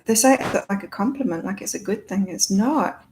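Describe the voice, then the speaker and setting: A woman hosting a live psychic card-reading chat with a calming voice, talking in a rambling, reflective way with many fillers. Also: medium pitch, normal speed, noisy background. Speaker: psychic reader and live-stream host. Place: in Thailand.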